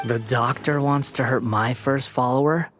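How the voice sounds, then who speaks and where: An actor playing an arrogant, youthful villain who thinks himself a new god, speaking in haughty, mocking game monologue. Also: male voice, medium pitch, steady tone, moderate pace, a bit bright, soft background music, lightly acted. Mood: mocking, menacing.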